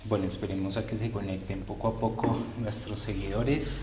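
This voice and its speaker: A man's voice: lowish voice, little pitch movement, moderate pace, heavy background noise. A man hosting a live stream, politely greeting and informing viewers in a hesitant, improvised way. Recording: roomy echo.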